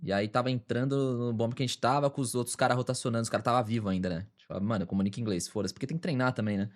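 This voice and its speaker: A man's voice: mid-range voice, steady tone, rapid-fire. A man from Brazil, a streamer, chatting casually and reacting to a video with lots of slang.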